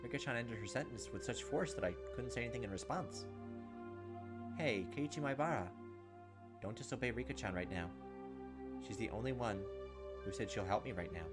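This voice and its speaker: Low-voiced man narrating over game music. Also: a little fast, pauses often. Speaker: let's-player. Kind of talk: reading visual novel narration aloud with commentary. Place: indoor room at a computer, on webcam. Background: game music, insect chirps.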